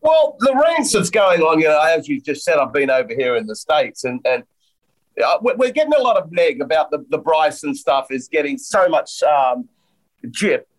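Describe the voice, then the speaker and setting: A man's voice: a little high, big pitch swings, moderate pace, low-quality recording. A man co-hosting a podcast in casual, blunt, jokey chat, sounding nasal and blocked up. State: congested, stuffy nose. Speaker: podcast co-host and golf commentator.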